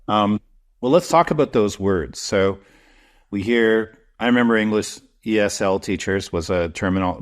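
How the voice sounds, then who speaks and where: A Canadian man hosting a podcast interview, asking questions in an enthusiastic, informal way. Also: fairly low pitch. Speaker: podcast host.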